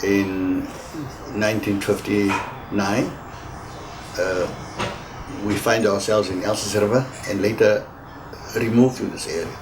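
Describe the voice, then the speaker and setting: A man in his eighties with a South African accent, giving a slow, halting oral-history testimony with many repeated words and pauses. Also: fairly low pitch, steady tone. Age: 80s. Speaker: interviewee sharing family history. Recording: recording with clear background noise.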